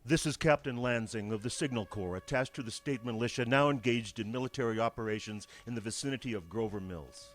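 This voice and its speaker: An actor playing a male army signal captain reporting from the field in a 1930s radio drama, calm and official. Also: mid-range voice, natural voice. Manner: calm official tone rising into alarmed shouting. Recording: old radio broadcast recording.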